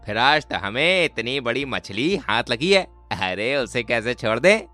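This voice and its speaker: A sleazy, drunken male villain from a horror cartoon, acted by a man. He leers and drawls in a bright, forceful voice with lively swings of pitch. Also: measured pace, soft background music. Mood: leering, gloating.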